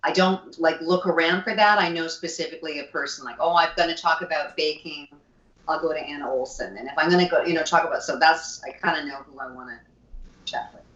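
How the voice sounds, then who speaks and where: A woman's voice: sits low, fast, a bit bright, reverberant, dull audio. A woman co-hosting a casual chat show over a video call, playful, jokey and theatrical. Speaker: co-host.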